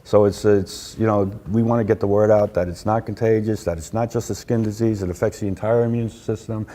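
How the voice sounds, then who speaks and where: A man's voice: lowish voice, steady tone, fairly quick, warm tone. A middle-aged man from New York, a patient advocate chatting casually as an interview guest, with lots of fillers and easy laughs, on a lapel mic in a conference room.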